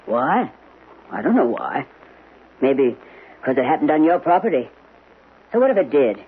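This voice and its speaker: A man's voice: mid-range voice, very animated, measured pace, slightly rough. An actor in a radio drama playing a blunt, probing male acquaintance with a folksy, questioning manner. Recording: muffled, tinny old radio recording.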